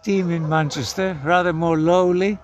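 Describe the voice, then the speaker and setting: A man in his seventies from Greece, a podcast guest telling stories in a measured, thoughtful way. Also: high voice, steady tone, slight background noise. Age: 70s. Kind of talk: casual conversation.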